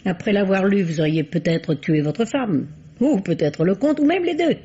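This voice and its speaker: A man's voice: high-pitched, lively intonation, normal speed, dark tone, slightly rough. An actor playing a shrewd, courteous male sleuth in a wry, urbane old French radio drama manner. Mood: explaining. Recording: dull old radio recording.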